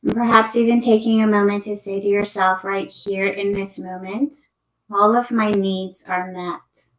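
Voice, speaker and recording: Female yoga nidra guide: gentle, leisurely delivery, mid-pitched, roomy amateur recording. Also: steady tone. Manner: gentle, unhurried, soothing instruction. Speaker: meditation teacher. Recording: echoey room, amateur sound quality.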